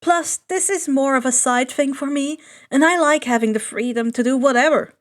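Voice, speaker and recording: A woman's voice: high voice, normal speed, lightly acted. Woman as a light, earnest teenage boy. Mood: casual.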